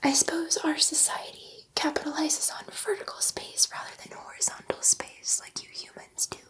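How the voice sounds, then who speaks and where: Gentle, chatty female alien scientist, acted by a woman for sci-fi ASMR; high, breathy whisper, hesitant delivery. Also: flat delivery, normal speed. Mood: wistful, admiring. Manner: hesitant, rambling, confiding.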